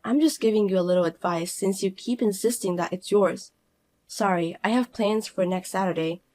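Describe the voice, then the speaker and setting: A woman voicing a calm, cool-headed young working woman who speaks quietly and firmly, narrating in the first person. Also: mid-range voice, moderate pace, close to an everyday voice.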